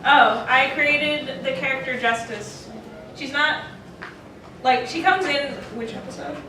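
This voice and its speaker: A woman's voice: high-pitched, slow pace. A woman telling stories casually and emphatically as a creator on a convention panel. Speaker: podcast character creator and panelist. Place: convention panel room, recorded from afar. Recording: echoey noisy room, distant microphone.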